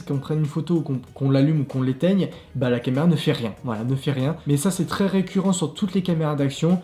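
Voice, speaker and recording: Upbeat male vlogger, French accent, fairly high but soft voice, roomy sound. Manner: chatty, upbeat, laughs at himself. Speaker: tech reviewer, channel host. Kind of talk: casual product review vlog. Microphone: clip-on mic.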